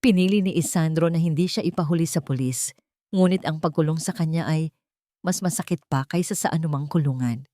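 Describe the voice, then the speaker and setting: A woman's voice: deep voice, a little fast, warm tone, no echo, clean studio sound. A woman reading a scripted love story as narrator, in a solemn, melodramatic manner.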